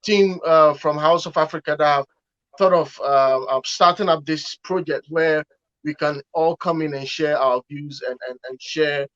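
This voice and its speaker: A man's voice: a little high, fairly even intonation, normal speed, a bit bright. A man from Ghana, a pastor speaking as a guest on an online panel, talking earnestly in a preaching manner and often repeating words as he starts phrases. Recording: muffled lo-fi call audio.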